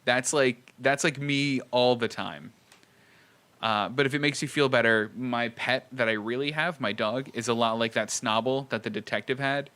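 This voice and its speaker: A man's voice: mid-range voice, moderate pace, sharp tone. A man co-hosting a podcast, chatting informally and wordily with plenty of filler "like"s. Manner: informal, wordy, often says "like". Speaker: podcast co-host. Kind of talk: casual podcast chat.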